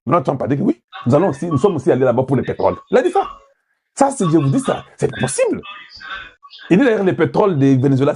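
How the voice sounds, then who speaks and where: A man's voice: fairly high pitch, mellow, slight background noise. A man from Congo giving political commentary, putting questions to his viewers and switching into Lingala at times. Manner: rhetorical, asks the audience questions, mixes in Lingala.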